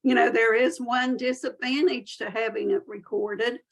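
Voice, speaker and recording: A woman's voice: a little high, fairly even intonation, normal speed, creaky, rough recording. A woman with a Southern US accent, giving a chatty, folksy webinar presentation as a chapter chair over a video call. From Southern United States. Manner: chatty, folksy, self-deprecating.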